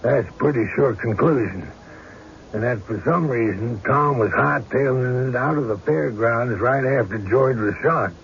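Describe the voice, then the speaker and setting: An actor playing a weary, folksy small-town sheriff in an old-time radio drama, plain-spoken and reluctant. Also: male voice, mid-range voice, measured pace, gravelly. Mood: skeptical, deliberate. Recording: dull, lightly noisy radio recording.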